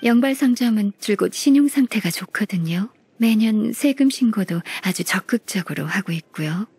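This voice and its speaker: An actress playing a composed, shrewd senior secretary in a video game, speaking politely and in a measured, matter-of-fact way. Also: female voice, mid-range voice, animated, darkish tone, breathy edge, no echo. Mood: coolly coaxing. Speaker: game character voice actor. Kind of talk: video game story voice acting.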